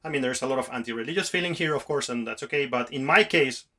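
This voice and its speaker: A man's voice: mid-range voice, quick pace, bright, forceful tone. A man hosting a podcast, talking in a casual, reflective and earnest way and wandering through his thoughts. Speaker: podcast host.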